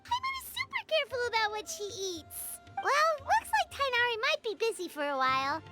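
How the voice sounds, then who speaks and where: A woman's voice: very high-pitched, wildly swooping pitch, moderate pace, over music, exaggerated voice. A woman voicing a tiny, bubbly female fairy companion in a video game, chirpy and talking about herself in the third person.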